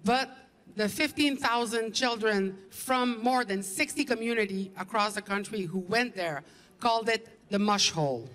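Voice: mid-range voice, normal speed, a bit bright, big-room sound. A co-presenter reading a scripted talk with a formal, declarative delivery.

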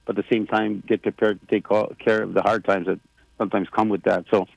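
A man in his sixties talks in a loose, rambling way, full of fillers and restarts. His voice has a gravelly, fried edge, and the sound is dry and close. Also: fairly low pitch, level tone, fast, dry recording, rough recording. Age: 60s. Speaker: president of a government, radio guest. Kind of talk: casual radio chat.

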